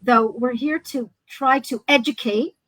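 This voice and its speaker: Earnest higher-voiced woman host. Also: unhurried, a bit bright, dry recording, low-quality recording. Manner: earnest, emphatic, speaks in restarts. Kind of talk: casual chat.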